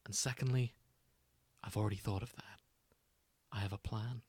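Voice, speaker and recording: A man's voice: fairly low pitch, steady tone, moderate pace, a little mellow, breathy edge, no room sound. A man voicing an indignant, scheming young male familiar in a melodramatic fan fiction reading. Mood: secretive, determined.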